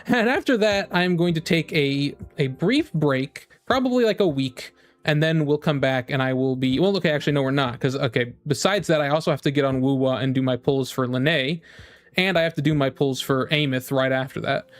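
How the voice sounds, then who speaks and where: A man's voice: a little high, brisk. A man streaming about games in a casual, rambling chat, often repeating his phrases as he talks to viewers. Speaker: game streamer.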